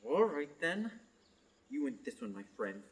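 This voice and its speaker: A man's voice: a little high, wildly swooping pitch, a little slow, creaky, full character voice. Young man as pompous theatrical knight, swooping delivery. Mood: gallant. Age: teenager to young adult. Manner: pompous, grandiloquent. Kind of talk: radio play acting.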